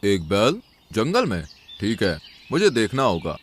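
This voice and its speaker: Cartoon lion ruler, played by a man, calm sage giving measured warnings; expressive intonation, faint noisy backdrop. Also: on the low side. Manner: measured, admonishing. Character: wise, patient, calm lion king.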